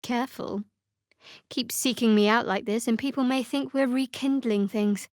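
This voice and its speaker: Casting: actress as young female dark cleric for a fantasy game. Fairly high voice, dry studio sound, guarded, measured, sardonic. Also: steady tone, dry recording, natural voice. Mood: cautious. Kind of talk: fantasy video game voice acting.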